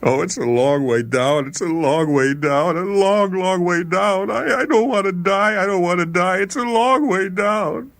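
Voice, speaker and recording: A man's voice: high-pitched, unhurried, hardly any pauses, fairly bright tone, pitched above the performer's own voice. A man voicing a panicking spaceman who wails and pleads in terror of falling to his death. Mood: terrified, wailing. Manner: wailing, pleading, repetitive.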